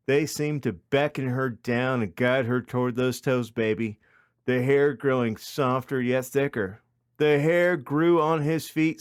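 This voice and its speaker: A man's voice: medium pitch, smooth, no echo. Dry-sounding man narrating a comic story. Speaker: podcast host.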